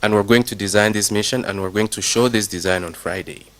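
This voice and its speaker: A man with a somewhat low voice answers questions on a television talk show, explaining technical ideas in a measured, deliberate way and choosing his words with care. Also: fairly bright tone. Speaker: space program consultant guest. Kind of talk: TV interview.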